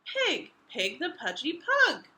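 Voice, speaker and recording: A woman's voice: high voice, wildly swooping pitch, takes it slowly, sharp tone, slight background noise, full character voice. A woman voicing a cheeky little pug dog from a storybook, teasing in short, emphatic taunts.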